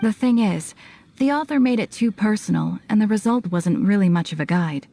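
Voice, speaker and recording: A woman's voice: medium pitch, expressive, moderate pace, creaky, dark tone. A woman playing a patient, matter-of-fact bookseller in a video game. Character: matter-of-fact, familiar adult female bookseller.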